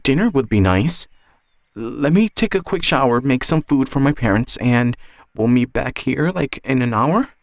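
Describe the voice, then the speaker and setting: Man voicing a gentle woman, pitched up. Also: animated, moderate pace, natural voice. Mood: friendly. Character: Emily, friendly adult woman, Derek's childhood friend.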